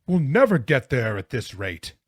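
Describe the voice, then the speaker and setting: A man's voice: medium pitch, big pitch swings, normal speed, slightly rough, no echo, studio quality. A man voicing a blustery, grumbling, worn-out grown man in an audiobook story. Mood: exhausted. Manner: gasping, grumbling, roaring.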